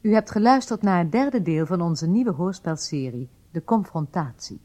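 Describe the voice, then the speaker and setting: A woman's voice: lowish voice, expressive, normal speed, mellow. A woman announcer reading scripted closing credits for a radio drama.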